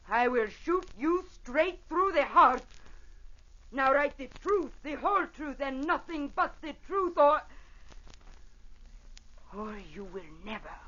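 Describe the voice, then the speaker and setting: An actress playing a vengeful Italian opera singer and wronged mother in a radio drama, with an Italian accent and theatrical, deliberate delivery. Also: high-pitched, fairly bright tone, exaggerated voice. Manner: theatrical, deliberate, formal phrasing. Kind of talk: old-time radio drama acting. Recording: dull vintage radio recording.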